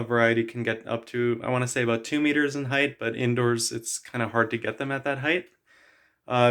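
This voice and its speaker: A man vlogging about a houseplant in a chatty, enthusiastic way, with many "uh" fillers. He is a little hoarse from losing his voice. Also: medium pitch, a bit bright. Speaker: plant vlogger. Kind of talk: casual show-and-tell.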